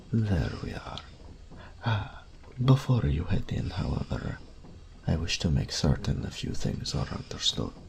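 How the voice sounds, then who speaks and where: Through a noticeable layer of noise comes an elderly master tailor, with an extremely deep, heavily creaking voice. He is courteous and proud of his craft, speaking slowly and formally as a wistful shopkeeper in a fantasy audio roleplay. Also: full character voice, rough recording. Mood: resigned, stern. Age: older. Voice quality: gravelly, breathy old voice. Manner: formal, deferential, unhurried, savouring words.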